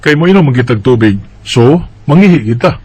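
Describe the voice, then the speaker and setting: A man's voice: medium pitch, expressive, fairly quick, a little mellow, slightly rough, no room sound. A man with a Cebuano Bisaya accent playing a matter-of-fact, dismissive but reassuring doctor in a radio drama. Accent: Cebuano Bisaya Filipino.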